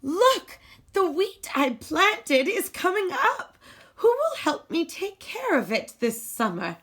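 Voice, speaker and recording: A woman's voice: very high voice, sing-song, takes it slowly, a bit bright, pitched above the performer's own voice, full character voice. A woman voicing a hard-working, determined little red hen in a storybook, with emphatic, singsong calls. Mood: excited.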